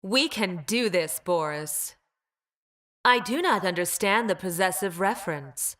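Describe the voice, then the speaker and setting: An actress playing a cold, logical engineered creature in a video game, speaking in a deadpan, precise and formal way. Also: female voice, fairly low pitch, unhurried. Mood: detached, stern. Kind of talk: video game character voice lines.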